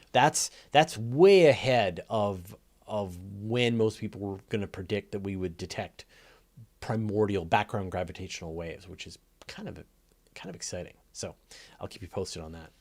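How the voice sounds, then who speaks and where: Low-voiced man explaining things casually. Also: normal speed. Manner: conversational, explanatory, thinking aloud. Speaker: host answering audience questions. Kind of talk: casual live science Q&A.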